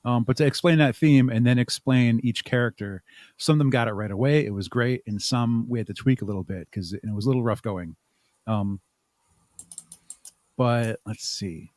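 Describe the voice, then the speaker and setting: A man with a medium-pitched voice talks casually as an interview guest. He rambles through his explanations and is prone to chuckle. The sound is dry, with little room in it. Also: moderate pace, darkish tone, no echo. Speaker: podcast guest and audio drama creator.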